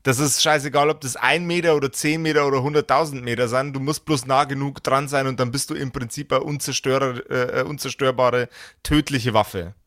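A man's voice: mid-range voice, steady tone, a little fast, fairly bright tone, dry recording. Casual male game master, dry close sound. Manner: colloquial, explains with blunt hypothetical examples. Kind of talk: casual tabletop character-creation discussion.

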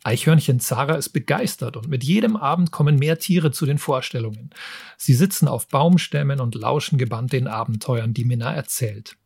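A man's voice: mid-range voice, normal speed, creaky, darkish tone, husky, no echo, studio quality. A man hosting a book show, presenting reviews in a partly scripted narrating style. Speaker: book podcast host and reviewer.

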